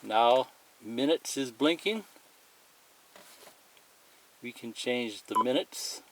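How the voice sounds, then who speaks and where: Male tutorial presenter, unhurried and plain-spoken, medium pitch, explaining a device step by step on a noisy home-made recording. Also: animated. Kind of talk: casual hands-on product demonstration. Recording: clearly noisy amateur recording, clicks and beeps.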